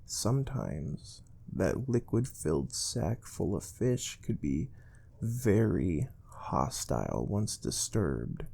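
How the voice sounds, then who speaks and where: A man playing a calm, stern space research interviewer in a soft-spoken ASMR role play, measured and formal. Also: sits low, vocal fry, full character voice.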